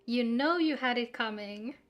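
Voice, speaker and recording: A woman's voice: on the high side, moderate pace, dull audio, faint music behind. A woman live-streaming a game, chatting casually and teasingly with viewers in sweary, informal talk.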